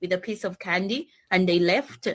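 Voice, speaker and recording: A woman's voice: on the low side, moderate pace. A woman heard in a played clip, lecturing in an accusatory way.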